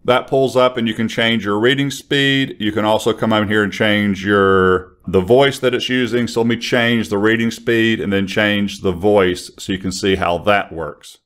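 Middle aged guy doing a casual how-to, kinda low voice. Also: steady tone, moderate pace, few pauses, fairly bright tone. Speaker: software trainer. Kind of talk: casual tutorial to camera. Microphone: large studio condenser microphone on an arm, close.

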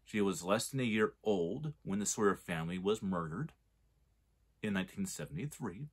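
Low-voiced man in his forties reviewing films. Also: lively intonation, moderate pace, husky. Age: 40s. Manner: rambling, restarts sentences, argues a point. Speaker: movie reviewer. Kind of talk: casual spoken film review.

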